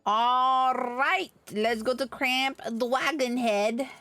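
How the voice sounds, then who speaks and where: A woman's voice: sits high, steady tone, drawn-out words, pushed and bright, soft background music. A woman livestreaming a game with casual, sweary, muttered commentary. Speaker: livestreamer playing an online fantasy game. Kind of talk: casual gaming commentary and reading game dialogue aloud.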